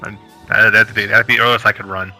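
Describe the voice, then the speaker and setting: Bright-voiced, blunt man in his thirties. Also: fairly low pitch, level tone, soft background music, low-quality recording. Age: 30s. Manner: fast, blunt, opinionated, swears casually. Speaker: podcast panelist. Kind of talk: casual panel discussion.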